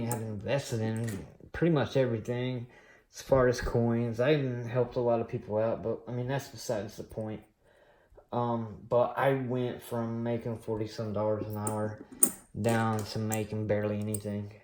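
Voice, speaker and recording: A man in his late thirties rambles through a personal story for his coin collecting vlog. He talks slowly and loosely, with lots of pauses and fillers. His voice echoes around a small room. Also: medium pitch, mellow. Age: late 30s. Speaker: coin collecting channel host. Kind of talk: casual personal storytelling while unboxing.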